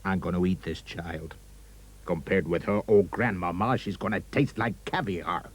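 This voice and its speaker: A man's voice: sits low, normal speed, breathy edge. A man voicing a greedy, boastful male wolf in a read-aloud storybook, gloating and drawing words out. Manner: gloating, drawn out.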